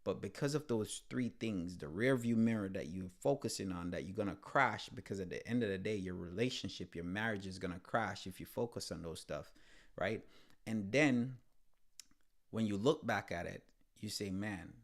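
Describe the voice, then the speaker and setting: Male co-host, deep-ish with vocal fry, Jamaican tinge, informal and slangy. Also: moderate pace. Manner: informal, slangy, repeats phrases for emphasis. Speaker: podcast co-host. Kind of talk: casual conversational talk.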